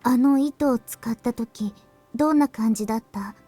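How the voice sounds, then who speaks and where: An actress voicing a calm, analytical young woman in a visual novel, with measured, deadpan delivery. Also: fairly high pitch, dry recording, soft background music, natural voice. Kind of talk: Japanese visual novel voice acting.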